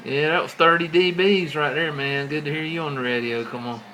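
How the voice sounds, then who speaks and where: A man's voice: fairly high pitch, steady tone, a little fast. An American man chatting casually in folksy, colloquial CB radio slang. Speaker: CB radio operator. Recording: clearly noisy CB radio setup.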